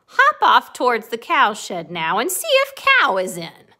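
A woman playing a cross mother sheep in a storybook, scolding loudly and with irritation. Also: fairly high pitch, huge pitch swings, a little slow, exaggerated voice. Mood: irritated.

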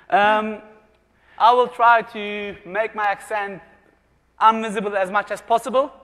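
A man's voice: high voice, fairly even intonation, slow pace, pauses often, pushed and bright. A man from Germany giving a jokey conference presentation, quizzing the live audience with questions. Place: large conference room. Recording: long reverb of a large hall.

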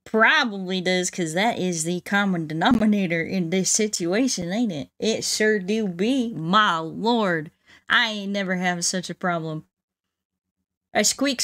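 A woman's voice: mid-range voice, fairly bright tone. Woman streamer with a folksy Southern drawl. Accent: put-on Southern US drawl. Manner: folksy, exclamatory country-bumpkin persona. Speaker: livestream host playing a video game. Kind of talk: casual livestream chat with viewers. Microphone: close streaming microphone.

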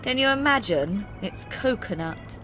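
Exasperated British woman editor, gossipy game character, lively swooping delivery, light music behind. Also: mid-range voice, unhurried. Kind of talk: video game acting.

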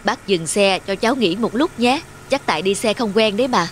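A woman's voice: mid-range voice, animated, fairly quick, slight background noise, voice pushed down, lightly acted. A woman voicing a cheerful, reassuring middle-aged bearded man in a dubbed cartoon. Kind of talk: cartoon dubbing.

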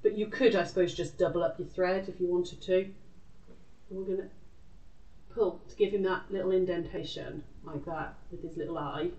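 British woman, low-pitched, echoey craft demonstration. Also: fairly even intonation, moderate pace, mellow, muffled recording. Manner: chatty, informal, talks to self while working. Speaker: craft tutor and live show host. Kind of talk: casual live craft demonstration. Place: indoor craft room. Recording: echoey room, distant microphone.

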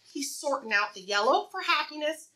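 A woman preschool teacher doing an interactive read-aloud for young children, asking questions in an encouraging, sing-song way and pausing for answers. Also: high-pitched, lively intonation, bright, forceful tone.

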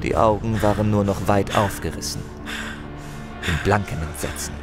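A man's voice: lowish voice, fairly even intonation, normal speed, close to an everyday voice. A man narrating a fantasy audio drama as a grave, intense storyteller, with music and effects behind him. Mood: grave, dramatic.

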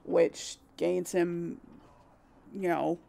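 A woman's voice: fairly low pitch, flat delivery, very slow, gravelly, mellow, a little hiss or hum. A woman giving casual let's play commentary, hesitant and musing as she thinks aloud to herself. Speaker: gaming host.

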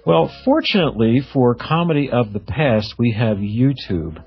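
A man's voice: mid-range voice, sing-song, normal speed, soft background music. A man hosting a radio show, interviewing in a casual, conversational style. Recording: narrow, phone-like radio sound.

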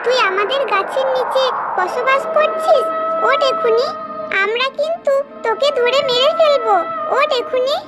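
A woman's voice: very high-pitched, normal speed, over loud music. A woman voicing a cruel, bullying tree witch in a children's cartoon fairy tale. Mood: angry, threatening.

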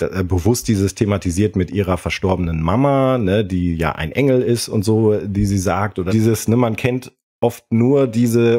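A man's voice: on the low side, warm tone. A man co-hosting a podcast, talking casually in a reflective, wordy way. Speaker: podcast co-host.

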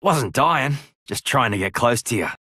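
Game casting: flirty Aussie pirate rogue, cocky and teasing, casual drawl, close dry recording. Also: male voice, medium pitch, expressive, moderate pace, sharp tone, no room sound. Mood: teasing, affectionate. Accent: Australian. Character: cocky, flirtatious one-legged male pirate adventurer. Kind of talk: video game character voice lines.

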